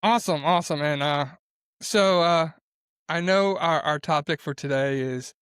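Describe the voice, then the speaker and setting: A man's voice: fairly high pitch, normal speed, a bit bright, smooth, no echo, clean studio sound. A man co-hosting a podcast, asking questions with many hesitant uhs. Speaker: podcast co-host.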